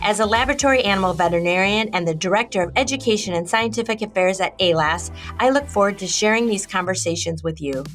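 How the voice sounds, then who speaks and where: A woman's voice: mid-range voice, fast, few pauses, a bit bright, faint music behind. A woman podcast host who is a veterinarian, reading a scripted intro and then chatting eagerly with her guest. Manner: enthusiastic, effusive.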